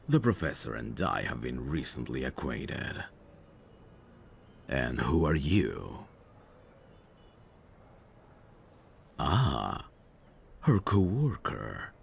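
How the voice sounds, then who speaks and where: Very deep, gravelly, teasing mafia boss, acted by a man. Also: very animated, a little hiss or hum, heavily acted. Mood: cool, condescending. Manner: teasing, unhurried, suave. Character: smooth, teasing mafia boss, flirtatious and faintly menacing. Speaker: voice actor in an audio roleplay. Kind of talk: ASMR roleplay drama.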